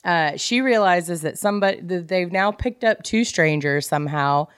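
A woman co-hosting a podcast, chatting casually and in an animated, geeky way about a book. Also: fairly low pitch, moderate pace, clear, resonant tone, no room sound, studio quality. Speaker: podcast co-host. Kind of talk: casual book club chat, reading out quotes.

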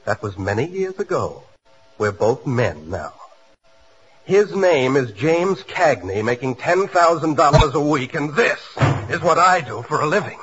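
A man's voice: a little high, big pitch swings, measured pace, a bit bright. An actor in a 1940s radio comedy playing a pompous, aggrieved soundman with precise, formal diction. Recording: muffled, faintly noisy 1940s radio.

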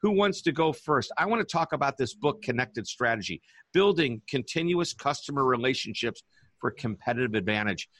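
A man's voice: mid-range voice, lively intonation, brisk, fairly bright tone, no room sound. A man hosting a business radio show, chatting in an upbeat, enthusiastic way as he introduces guests. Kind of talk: casual interview hosting.

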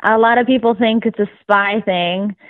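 A woman's voice: medium pitch, fairly even intonation, moderate pace, no room sound. A young woman from Russia, a guest in a casual interview, talking in an easygoing, chatty way and laughing often. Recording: dry, tinny phone-like line.

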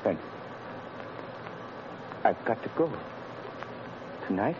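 An actor playing a weary, bitter, innocent condemned man, speaking in halting phrases in 1930s radio drama style. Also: on the low side, sing-song, exaggerated voice. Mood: despairing, bitter. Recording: noisy, muffled vintage radio recording.

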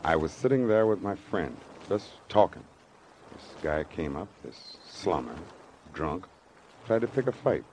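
An actor in an old-time radio drama playing a weary, earnest former boxer who speaks plainly in short, halting sentences. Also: male voice, low voice, animated, a little mellow. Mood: defensive. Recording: noisy vintage radio broadcast recording.

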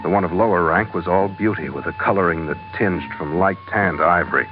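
A man's voice: low voice, a little fast, natural voice. A man playing a tough, wry adventurer in old radio drama, with a hard-boiled delivery. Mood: dry narration. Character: tough, wry adventurer hero narrating his exploits. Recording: dull old radio recording with music.

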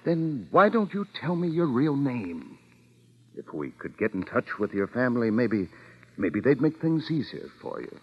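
A stern, fatherly judge in old-time radio style. He scolds with strongly varied pitch and firm weight. The sound is dull and aged. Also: very animated, measured pace, slightly rough. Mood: coaxing. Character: stern, exasperated, paternal male judge. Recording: muffled old radio recording.

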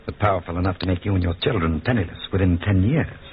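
A man playing a scheming, smooth-talking lawyer with an insinuating, persuasive manner, in 1940s radio drama style. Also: low voice, fast talker, natural voice. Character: scheming, smooth-talking lawyer plotting against his brother. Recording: muffled, tinny, noisy old radio recording.

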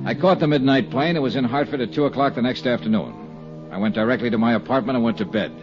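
An actor playing a seasoned insurance investigator in a 1950s radio detective drama, with an American accent and clipped, matter-of-fact delivery. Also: male voice, medium pitch, fast, natural voice. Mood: businesslike. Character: seasoned male insurance investigator narrating his case. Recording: dull vintage radio recording with music.

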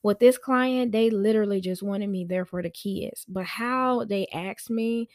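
A woman telling a personal story on a podcast in a chatty, animated, slangy way, acting out conversations as she goes. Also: mid-range voice, a little slow, dry recording, studio quality. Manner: informal slangy speech. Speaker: podcast host and nanny.

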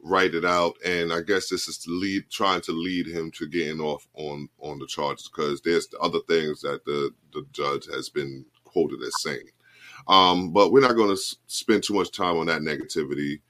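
A man's voice: very deep voice, fairly even intonation, normal speed, a bit bright. A man in his forties hosting a casual chat show, talking loosely and often checking in with "you know what I mean". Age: 40s. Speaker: podcast host.

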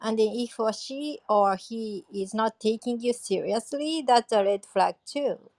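A woman's voice: on the high side, unhurried, a little mellow, no echo. A woman sharing advice in a casual chat. She searches for her words and uses fillers along the way. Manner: searching, hesitant phrasing with fillers.

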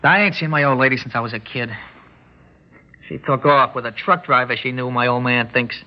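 A man's voice: medium pitch, fairly even intonation, a little fast, natural voice. An actor playing a cocky young small-time gunman in a radio drama, with brusque, slangy tough-guy talk. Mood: defensive. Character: cocky, uneducated young male small-time stickup man. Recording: dull old radio recording.

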